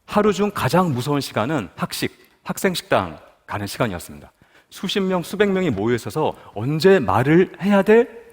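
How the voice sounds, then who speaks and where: A man's voice: medium pitch, big-room sound. A man from Busan giving a personal testimony at a prayer meeting, telling his story and asking the audience questions. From Busan, South Korea. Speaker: guest speaker at a prayer meeting.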